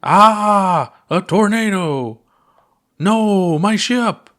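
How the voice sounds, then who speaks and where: A man's voice: sits high, expressive, extremely slow, a bit bright, voice pushed up, full character voice. A man voicing a goofy, laid-back alien astronaut with a playful, theatrical drawl in a storybook reading. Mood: mock panic.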